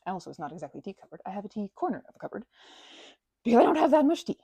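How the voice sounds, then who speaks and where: A woman's voice: medium pitch, very animated, racing, warm tone, husky, no echo. A woman performing a casual best-friend ASMR roleplay, chatting in a playful, scatterbrained way and rambling as she goes.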